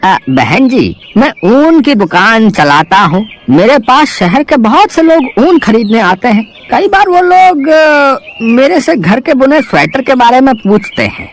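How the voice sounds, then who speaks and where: A man voicing a polite wool shopkeeper with a courteous, halting manner in a Hindi moral-story cartoon. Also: very high voice, big pitch swings, slight background noise, full character voice. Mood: polite, requesting.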